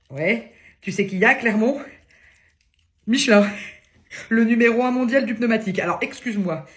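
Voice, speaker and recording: A woman voicing a brash, crude middle-aged aunt from the provinces, ranting and teasing down the phone in a comedy sketch. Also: on the high side. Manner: loud, crude, teasing, one-sided phone rant.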